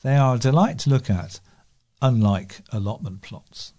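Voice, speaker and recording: Mellow man reading aloud. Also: mid-range voice, lively intonation, normal speed. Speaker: volunteer newspaper reader. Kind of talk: reading letters and articles aloud.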